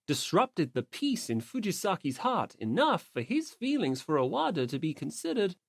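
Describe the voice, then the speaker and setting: A man reading a story aloud as an audiobook narrator, in expressive scripted narration. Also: sits high, moderate pace, creaky, dry recording, clean studio sound.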